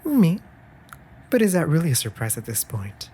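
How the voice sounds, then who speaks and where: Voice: mid-range voice, huge pitch swings, moderate pace, a little hiss or hum. A performer playing a playful, affectionate raven familiar in an intimate comfort audio roleplay, with teasing, mock-offended delivery. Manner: teasing, mock-offended, breaking into soft murmurs.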